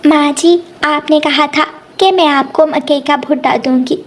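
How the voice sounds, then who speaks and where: Lady doing a sweet trusting mama sparrow, high voice, kids cartoon. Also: steady tone, measured pace. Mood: polite. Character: gentle, trusting mother sparrow. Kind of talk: animated Hindi moral story dialogue.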